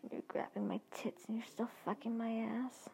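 A woman narrating an erotic story in an intimate, breathy whisper, speaking to the listener as "you". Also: a little high, fairly even intonation, normal speed, warm tone, slight background noise.

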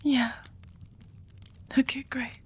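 A woman voicing an anxious, devoted young female vampire who confesses her love in a soft, hesitant whisper, in an intimate audio roleplay. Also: high voice, level tone, extremely slow, husky, exaggerated voice. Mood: tender, confessing.

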